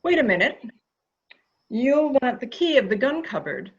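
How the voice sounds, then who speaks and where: A woman playing an anxious, flustered lady of the household in a dramatic reading, with an agitated, halting delivery. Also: sits high, very animated, breathy edge, heavily acted. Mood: curt, tense. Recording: dull, lo-fi audio.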